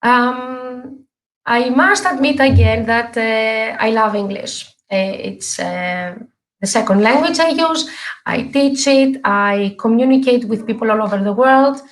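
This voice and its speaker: A middle-aged woman from Greece, a native Greek speaker and English teacher, giving an earnest live online talk with many pauses and fillers. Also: fairly high pitch, poor audio. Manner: earnest, halting with frequent fillers.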